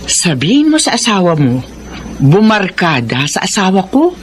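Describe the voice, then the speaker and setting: A woman's voice: mid-range voice, big pitch swings, moderate pace, a little hiss or hum, lightly acted. A woman acting a smug, showy married neighbor in a radio drama, with a Filipino accent.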